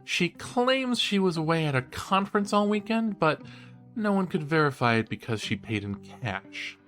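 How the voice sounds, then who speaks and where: An eager young college student co-hosting a true-crime podcast in an audio drama. His pitch moves in a lively, playful way and his delivery is theatrical and cheeky. Music plays softly behind him. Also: moderate pace. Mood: eager, speculating. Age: young adult. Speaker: podcast co-host. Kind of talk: scripted banter in a horror audio drama.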